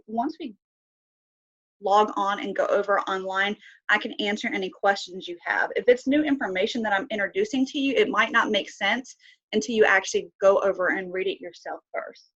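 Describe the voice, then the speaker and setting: A woman's voice: medium pitch, fairly bright tone, lo-fi sound. A woman teaching an online class with a slight cold, asking students questions and using many fillers. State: has a cold, sniffly. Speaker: instructor.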